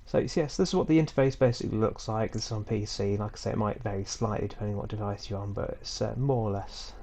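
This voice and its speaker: A man with a head cold walking viewers through a screen-recorded tutorial at home in a relaxed, rambling way, with frequent ums and small self-corrections. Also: lowish voice, fast talker. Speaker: tutorial host. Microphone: computer mic for a screen recording.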